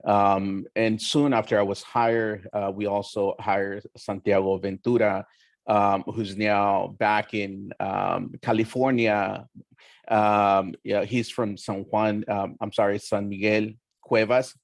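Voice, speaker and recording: A young man from Oaxaca, Mexico, whose first language is Mixtec, giving an earnest, measured talk on a roundtable panel with frequent pauses. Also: on the low side, flat delivery, creaky, dry recording. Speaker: community educator and roundtable panelist. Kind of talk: roundtable presentation.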